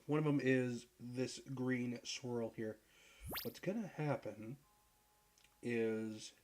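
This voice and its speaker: A man with a deep voice, hoarse from a cold, giving relaxed, rambling commentary while playing a puzzle game. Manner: relaxed, rambling, clears throat and laughs at himself. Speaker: gaming video creator. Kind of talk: casual gameplay commentary.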